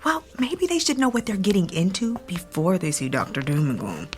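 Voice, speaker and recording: An actress plays a snarky coworker in a radio play, full of sarcastic asides. Her pitch swoops up and down, and faint music plays beneath her. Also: brisk. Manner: sarcastic, lively. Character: snarky gossiping woman coworker.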